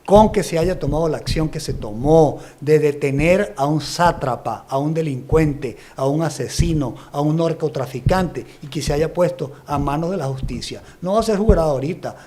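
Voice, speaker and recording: Brief: man from Venezuela, interview guest, emphatic and explanatory, a touch high in pitch. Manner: forceful, explanatory, builds lists of accusations. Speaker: guest analyst. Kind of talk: emphatic political commentary.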